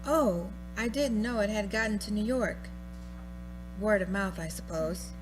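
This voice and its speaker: A woman's voice: medium pitch, unhurried, music in the background, natural voice. A woman playing a chatty, gossipy housewife friend on a telephone call in a radio play. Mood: breezy, amused. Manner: breezy, gossipy, unconcerned.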